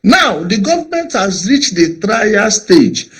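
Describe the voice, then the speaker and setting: A man's voice: high-pitched, sing-song, slow. A man hosting a heated call-in debate, urgently cutting in to steer the talk. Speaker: host.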